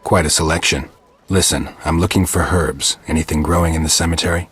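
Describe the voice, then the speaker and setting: Very deep, gravelly monster hunter. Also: male voice, fairly quick, faint music behind, exaggerated voice. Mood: stoic, dry. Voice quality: gravelly, raspy. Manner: deadpan, terse. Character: gruff, stoic male monster-hunting witcher, terse and dry. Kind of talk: video game dialogue.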